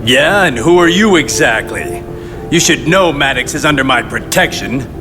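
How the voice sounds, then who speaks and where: An actor playing a glib, self-important crime boss in a video game cutscene, talking with pompous mock courtesy. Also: male voice, mid-range voice, lively intonation, normal speed, natural voice. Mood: suspicious. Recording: long reverb with loud music behind. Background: music.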